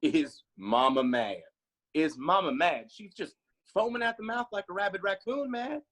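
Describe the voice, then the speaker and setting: A man's voice: sits high, moderate pace, fairly bright tone. A man playing a playful, boisterous honky-tonk bar worker with folksy, exaggerated teasing, in a screenplay table read.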